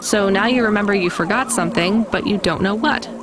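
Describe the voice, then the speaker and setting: An actress playing a practical, inquisitive young courier in a video game, talking in a wry, matter-of-fact way. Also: female voice, medium pitch, a little fast, noisy background, lightly acted. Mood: wry, probing.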